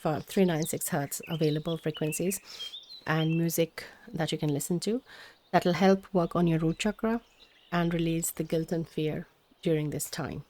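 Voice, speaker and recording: A woman tarot reader whose first language is Hindi, her voice sitting a little low. She talks gently and meanders, often pausing on fillers and restarting, with birds chirping faintly behind her. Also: a little hiss or hum. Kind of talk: spiritual tarot reading.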